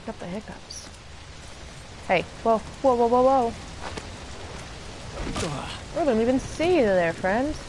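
A woman's voice: a little high, animated, slow, pauses often. A young woman doing casual live game commentary, profane, playful and sarcastic, talking as a streamer. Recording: noisy, game sound behind voice. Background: video game ambience.

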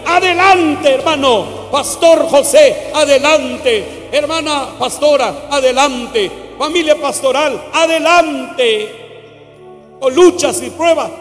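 A middle-aged Guatemalan man preaching an emphatic, exhortatory sermon, repeating phrases, with a Guatemalan accent. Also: very high voice. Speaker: evangelist preacher. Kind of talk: impassioned sermon mixing Spanish with a Mayan language. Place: large church hall, indoors. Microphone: handheld microphone through PA. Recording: long hall reverb, live church amplification. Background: live music.

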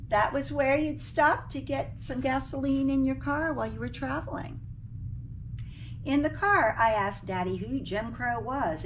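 Distant-miked woman teacher reading aloud. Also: medium pitch. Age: middle-aged. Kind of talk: read-aloud storytelling with explanatory asides to pupils. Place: small indoor classroom or office beside a screen. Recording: distant mic, clearly noisy room.

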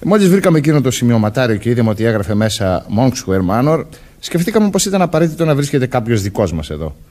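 An undercover officer in a radio play. He explains and reassures matter-of-factly in a medium voice with animated swings of pitch, dropping in small hesitant interjections. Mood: calm, explaining. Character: undercover policeman posing as a major, reassuring, matter-of-fact. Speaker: radio drama actor. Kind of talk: Greek radio play acting.

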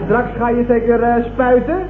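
An actor playing a cheeky, argumentative young nephew and would-be revolutionary in a 1970s Dutch radio comedy. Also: male voice, very high-pitched, completely flat delivery, moderate pace. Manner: argumentative, defiant. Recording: tinny, slightly noisy old radio recording.

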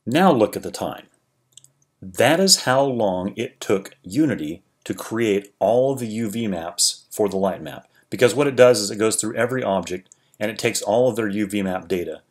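A man with a fairly low voice narrates a screen-recorded 3D tutorial. He explains each step calmly and informally, often restarting his sentences as he thinks. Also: moderate pace. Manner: relaxed, explanatory, with frequent restarts. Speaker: 3D tutorial creator.

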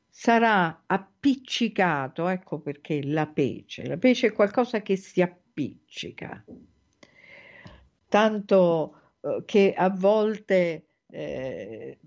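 Slow, measured woman lecturing. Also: mid-range voice, a little mellow. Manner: measured, thoughtful, with frequent hesitant pauses. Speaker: host and spiritual teacher. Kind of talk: reflective spoken lecture on a fairy tale. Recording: dull lo-fi podcast audio.

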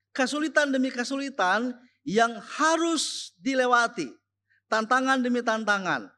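A man with a high, bright voice preaches at a school chapel. He speaks slowly and deliberately, stressing each phrase and repeating it. He sounds like a young teacher addressing his students.

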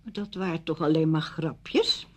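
Radio drama: wounded widow, reproachful and emphatic, rough-edged husky voice. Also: female voice, deep voice, moderate pace. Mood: indignant. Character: wounded, indignant widow confronting her former best friend.

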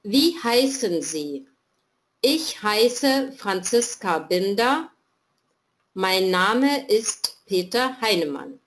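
A woman's voice: mid-range voice, very animated, very slow, fairly bright tone. A woman teaching German in an online video-call class, patiently prompting students to read and translate.

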